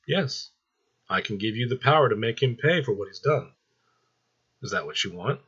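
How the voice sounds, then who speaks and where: A man's voice: medium pitch, animated, moderate pace, fairly bright tone, slightly rough, highs cut off. A man voicing a cruel, manipulative male Angel of Death with coaxing menace. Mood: falsely soothing.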